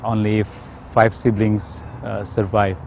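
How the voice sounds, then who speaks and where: A man from Indonesia with an Indonesian accent, telling his personal story in a hesitant, careful way with frequent pauses. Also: fairly low pitch, level tone, gravelly, a little mellow, a little hiss or hum. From Indonesia, South Sumatra. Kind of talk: unscripted personal testimony.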